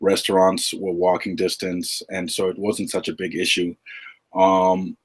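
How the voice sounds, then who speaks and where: A middle-aged man from Uganda, a retired basketball player, answering podcast questions in a relaxed, halting way with many fillers. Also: sits low, breathy edge. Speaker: guest, retired pro basketball player and agent. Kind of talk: podcast interview.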